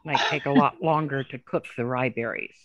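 A man's voice: mid-range voice, fairly even intonation, normal speed, lo-fi sound, a crowd in the background. A man chatting casually, making brief remarks and asking questions as a conversation partner.

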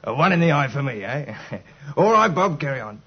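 An actor playing a coarse, leering, mocking man with crude speech and a husky voice in a 1950s radio drama. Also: mid-range voice, sing-song, normal speed. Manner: crude, jeering, ungrammatical speech with chuckles. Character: coarse, leering, mocking red-moustached man back from Australia. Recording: dull old 1950s radio recording.

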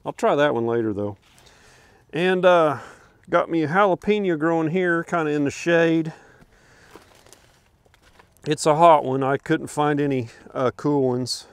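A man's voice: on the high side, unhurried, long pauses, clean tone, no echo. An older man in his garden outdoors, rambling easily with lots of fillers as he films a walkthrough from behind a handheld camera. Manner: easygoing, rambling, with many fillers and chuckles. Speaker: homesteader showing his garden. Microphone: handheld camera, speaking from behind it.

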